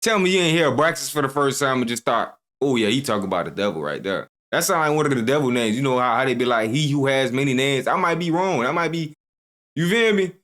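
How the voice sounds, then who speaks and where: A man's voice: medium pitch, animated, quick pace, fairly bright tone. A man commenting casually on a video he is reacting to, joking in loose slang.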